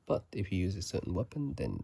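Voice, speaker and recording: A man doing whispered ASMR commentary on a video game, soft and breathy, musing in a halting, casual way, close to a phone mic. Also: deep voice, wildly swooping pitch, dry recording. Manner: halting, musing, unhurried delivery. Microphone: close phone microphone.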